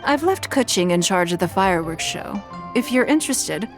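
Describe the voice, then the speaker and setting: Brief: woman voicing a poised, authoritative game noblewoman, calm and formal. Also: mid-range voice, over music, lightly acted. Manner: composed, measured and formal. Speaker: city leader. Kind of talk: video game voice acting.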